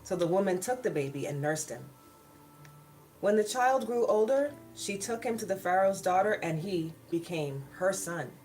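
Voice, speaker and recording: A woman's voice: on the low side, expressive, moderate pace. A woman storyteller hosting a read-aloud of children's Bible stories, with casual asides to her viewers. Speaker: storyteller and channel host. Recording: background music behind the voice. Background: soft worship music.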